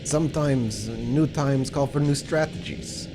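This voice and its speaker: A man playing a cunning, composed vampire mentor in a tabletop game, speaking in a measured, formal way. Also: mid-range voice, over music, voice pushed up, close to an everyday voice. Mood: calculating.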